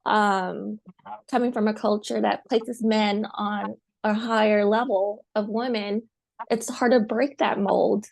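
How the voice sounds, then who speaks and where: Soft, halting woman with gravelly voice. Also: mid-range voice, fairly even intonation. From United States. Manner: soft, halting, with many pauses. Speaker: guest, survivor. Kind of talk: personal testimony.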